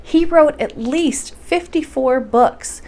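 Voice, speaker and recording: A woman hosting a library story time, speaking and reading aloud in an expressive, encouraging way. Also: high voice, slow pace. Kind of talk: bedtime story reading and introduction.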